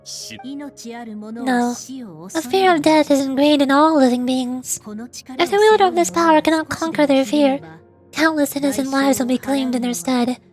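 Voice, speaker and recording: A high female voice put on by a woman gives us a ruler of death from a fantasy game. She is stern and imperious, with measured, ominous delivery. Soft music plays behind her. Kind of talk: fantasy video game dialogue.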